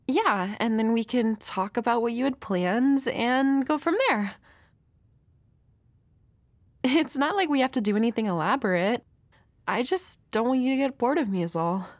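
A woman's voice: on the high side, lively intonation, normal speed, no echo, lightly acted. A woman playing a nervous, dorky young woman with a crush in an intimate roleplay audio, hesitant, stammering and apologetic. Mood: shy, flustered.

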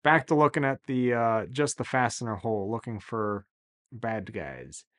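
A man in his thirties explaining sailing gear casually to the camera, relaxed and enthusiastic, often saying "really" and "uh", recorded in a small office at desk distance. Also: medium pitch, moderate pace. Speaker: sailing vlogger explaining boat repairs.

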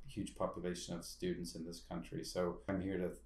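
A middle-aged man who develops products for language learners, speaking in a measured interview manner at home. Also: low voice, completely flat delivery, a little mellow, roomy sound. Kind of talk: interview statement.

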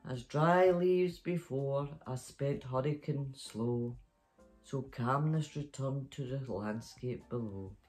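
A woman's voice: extremely low pitch, takes it slowly, darkish tone, soft background music. A middle-aged woman, hosting story time and reading a children's picture book aloud in a bedroom. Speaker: storytime host. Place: small bedroom, sitting up in bed.

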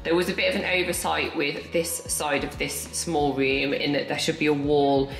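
Low gravelly woman in echoey rooms. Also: little pitch movement, soft background music. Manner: candid, matter-of-fact, brisk. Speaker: vlogger renovating her home. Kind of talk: casual talk to camera. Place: large house under renovation, bare rooms indoors.

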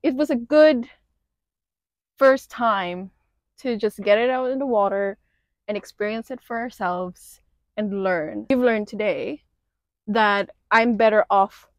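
A woman's voice: medium pitch, takes it slowly, long pauses, no echo. A woman chatting casually for a vlog, recorded outdoors on a sailboat, laughing often and using many fillers. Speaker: vlogger. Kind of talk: casual chat.

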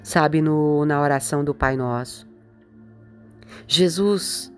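A middle-aged woman bishop with a Brazilian Portuguese accent, preaching a devotional in a slow, earnest, pleading way, as a voice-over with soft music beneath. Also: deep voice.

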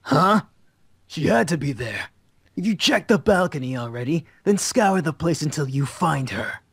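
A man's voice: a little high, expressive, normal speed, creaky, breathy edge, dry recording, exaggerated voice. A man voicing an impatient, bossy mage comrade in an amateur anime visual novel dub, curt and dismissive.

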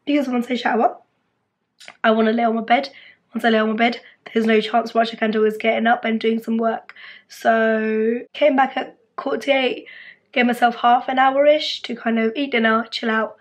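A woman's voice: a little high, steady tone, normal speed, no room sound. A young woman in her twenties, a dental student, chatting casually to a phone held at arm's length in her car and bedroom, her voice still recovering from illness. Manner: chatty, rambling, self-deprecating. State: recovering from lost voice. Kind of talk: casual vlog chat to camera. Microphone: phone camera at arm's length.

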